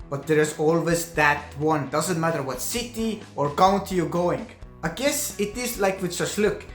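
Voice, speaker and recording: A man with an Estonian accent, a native Estonian speaker, narrating his own vlog in earnest, slightly halting English. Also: sits high, fairly bright tone, roomy sound. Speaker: vlogger.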